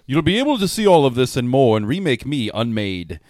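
A man's voice: mid-range voice, sing-song, brisk, a little mellow, no echo, close to an everyday voice. Man putting on a swooping trailer announcer. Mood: promotional. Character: film trailer announcer, mock-dramatic man. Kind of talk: scripted promo narration.

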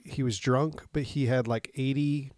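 A man's voice: mid-range voice, fairly even intonation, moderate pace, darkish tone, dry recording, clean studio sound. A man co-hosting a podcast in casual chat from his home basement, rambling with many fillers and frequent laughs. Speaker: podcast co-host.

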